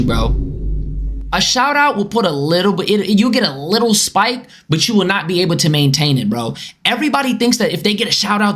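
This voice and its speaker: High-voiced young man reacting live. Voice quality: high boyish voice. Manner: animated, swearing, lecturing. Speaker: streamer reacting to clips. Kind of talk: casual live-stream reaction.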